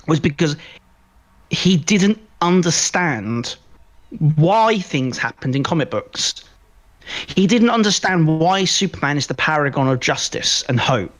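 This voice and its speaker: A man co-hosting a podcast, giving opinionated, emphatic film commentary in casual chat, often repeating phrases for stress. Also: a little high. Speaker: podcast co-host. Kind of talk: casual film review discussion.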